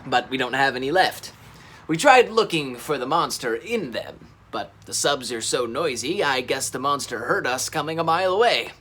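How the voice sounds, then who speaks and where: A man's voice: medium pitch, expressive, moderate pace, fairly bright tone, slight background noise, lightly acted. A man voicing a helpful, slightly worried male dinosaur boss in a children's story, explaining things emphatically. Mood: rueful.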